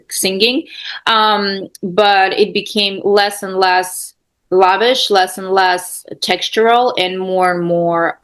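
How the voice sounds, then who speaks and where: A woman musician answering questions in a spontaneous, thoughtful way, halting and repeating phrases for emphasis as she explains. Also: mid-range voice, creaky. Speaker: musician and guest lecturer on a composer.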